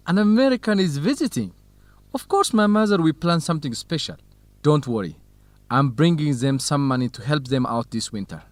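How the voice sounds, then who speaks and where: Guy acting as a warm young student in a radio drama, super expressive pitch, dry sound. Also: moderate pace, dry recording, close to an everyday voice. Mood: warm, hospitable. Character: warm, hospitable young Ethiopian man, student researcher. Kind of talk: scripted educational radio drama dialogue.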